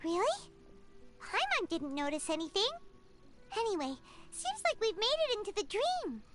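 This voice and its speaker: Squeaky little game fairy girl, super high and excitable, swoops all over the place. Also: moderate pace, over loud music, full character voice. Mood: curious. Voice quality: squeaky, childlike. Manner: exclamatory, speaks of herself in third person. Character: small excitable childlike female floating fairy companion. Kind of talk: video game character acting.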